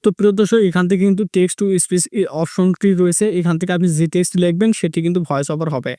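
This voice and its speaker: A man with a high voice walks viewers through a tutorial step by step. His delivery is fast and repetitive in its instructions, and the recording is dry and close. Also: fairly even intonation, warm tone, no room sound. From Bangladesh. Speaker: tech tutorial host. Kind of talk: step-by-step screen tutorial narration.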